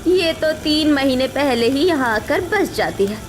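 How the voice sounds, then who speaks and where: A woman's voice: sits high, expressive, a little slow, slight background noise. A woman voicing a resentful, sarcastic housewife in a cartoon story, grumbling about her in-laws. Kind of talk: animated cartoon story acting.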